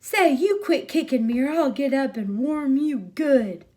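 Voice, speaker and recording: A woman's voice: high voice, expressive, a little slow, darkish tone, pitched above the performer's own voice. A woman voicing an exasperated, beleaguered grown male crook with pompous, folksy wording in a storybook reading. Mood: alarmed, pleading. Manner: pompous, wordy, folksy speech.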